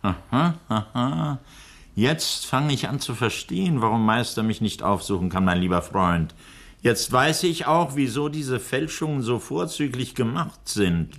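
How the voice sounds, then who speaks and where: An actor in a 1960s German radio crime drama playing a middle-aged doctor, composed, firm and shrewd. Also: male voice, medium pitch, lively intonation, a bit bright. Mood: indignant, shrewd. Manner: measured, firm, deliberate. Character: composed, authoritative male doctor, shrewd and upright.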